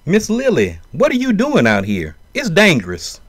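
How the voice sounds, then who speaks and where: A man playing an anxious, excitable frontier posse member in an amateur audio drama. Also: sits high, normal speed, slight background noise, full character voice. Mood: concerned.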